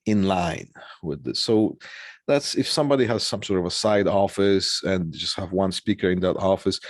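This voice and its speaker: A man with a fairly deep voice, recorded close and dry with little room sound. He speaks as an instructor walking through technical slides. His explanations are informal and halting, punctuated by small tags that check the listener is following. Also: a little mellow, no room sound.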